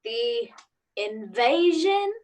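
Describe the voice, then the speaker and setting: Woman as a polite indulgent mom in a radio drama, high voice, very animated pitch. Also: drawn-out words, full character voice. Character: polite, indulgent housewife and mother. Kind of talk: radio play acting.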